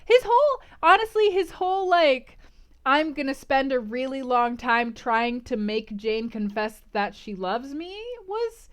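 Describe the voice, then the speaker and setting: A woman hosting a casual book club livestream, discussing and answering chat in an animated, emphatic, conversational way. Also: sits high, measured pace, clean tone.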